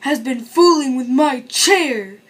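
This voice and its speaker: A woman voicing a big, gruff papa bear for a children's storybook, with a bellowing growl. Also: high voice, expressive, takes it slowly, exaggerated voice. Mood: growling anger. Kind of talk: children's storybook read-aloud.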